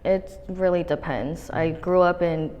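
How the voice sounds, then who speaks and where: A woman's voice: lowish voice, measured pace, darkish tone, highs cut off. A young woman from Los Angeles as an interview guest, laid-back and candid, swearing casually and using lots of likes and ums. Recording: long room reverb.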